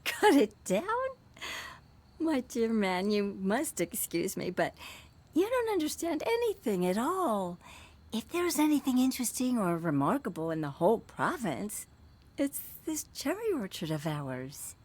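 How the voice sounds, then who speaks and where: A woman's voice: on the high side, big pitch swings, unhurried, darkish tone. An actress playing an eccentric, sentimental middle-aged aristocratic woman in a visual novel, with a dreamy, theatrical manner. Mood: indignant, proud.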